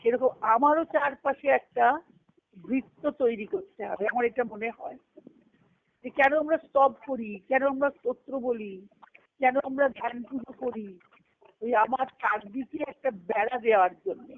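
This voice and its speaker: A woman leading a devotional teaching on a conference call, reflective and explaining step by step, often asking a question and then answering it. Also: a little high. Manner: recites scripture terms in a measured cadence. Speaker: spiritual discourse leader. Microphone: phone on a conference call. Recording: tinny conference-call phone line.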